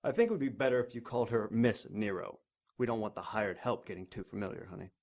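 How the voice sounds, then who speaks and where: A man's voice: lowish voice, quick pace, darkish tone, lightly acted. A man playing an arrogant, wealthy older industrialist in an audio drama, clipped and imperious. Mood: haughty. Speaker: client.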